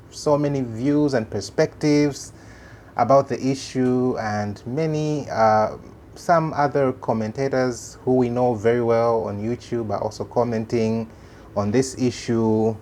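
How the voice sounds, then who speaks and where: Man, Malawian accent, native Chichewa speaker, mid-pitched voice, informal religious commentary, earnest, halting delivery. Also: darkish tone, slight background noise. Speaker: host of a religious commentary channel.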